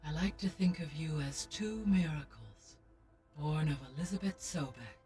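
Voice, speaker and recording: An actor voicing a calm, formal artificial intelligence in a video game, speaking in a precise, measured way. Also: male voice, on the high side, far from the mic, soft background music, lightly acted.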